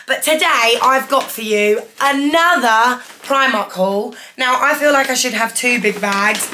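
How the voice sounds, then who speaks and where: Young girl with a hoarse voice, chatty and excited, bright and a bit high. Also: measured pace, slight background noise. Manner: chatty, enthusiastic, holds up each item. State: hoarse, losing her voice. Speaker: fashion vlogger. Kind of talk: casual haul vlog. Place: living room at home. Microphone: camera in front, seated.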